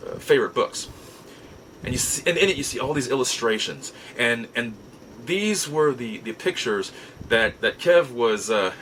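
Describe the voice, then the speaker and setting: A middle-aged American man telling nostalgic personal stories in a casual, halting, reflective way with drawn-out pauses. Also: medium pitch, a bit bright, slight background noise, low-quality recording. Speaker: podcast host. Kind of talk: casual nostalgic storytelling monologue.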